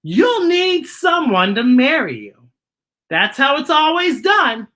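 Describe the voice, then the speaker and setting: An officious little cricket from a children's storybook, played by a woman reader, announcing the rules with great emphasis. The voice is bright, forceful and raised, with animated swoops. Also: high-pitched, slow, pitched above the performer's own voice, heavily acted. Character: officious cricket who knows how weddings go. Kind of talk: animated picture-book read-aloud.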